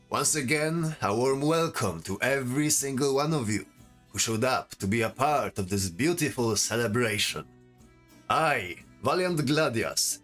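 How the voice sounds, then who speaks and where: Man playing royal herald, pompous, proclaiming, animated pitch, fantasy audio drama, faint music. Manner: grand, proclaiming delivery. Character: pompous royal herald and grand sentinel addressing a feast. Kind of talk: audio drama ceremonial speech.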